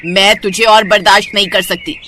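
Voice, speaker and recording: A woman's voice: medium pitch, steady tone, a little fast, pushed and bright, a little hiss or hum, natural voice. A woman voicing a resentful, harsh, accusing aunt in a cartoon moral story. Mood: angry. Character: resentful, scolding aunt throwing out an orphaned niece.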